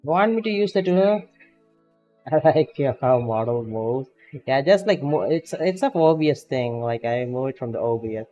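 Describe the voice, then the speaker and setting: A man's voice: mid-range voice, lively intonation, moderate pace, mellow, dull audio, soft background music. A man streaming a game as a VTuber, chatting casually with a friend, laughing often and reacting to game results. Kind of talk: casual gaming stream chat.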